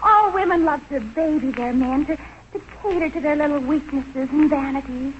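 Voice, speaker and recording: A woman's voice: high voice, normal speed, close to an everyday voice. An actress playing an earnest, gushing American teenage girl in a 1940s radio comedy. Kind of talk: 1940s radio sitcom acting. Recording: dull, faintly noisy 1940s radio recording.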